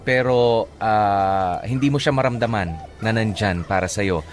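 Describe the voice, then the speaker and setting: A man with a Filipino accent co-hosting a radio advice show, talking casually with many halting pauses and some English. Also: lowish voice, soft background music. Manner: halting, filler-heavy, mixes in English. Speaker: radio co-host. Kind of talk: casual radio advice talk, reading listener comments.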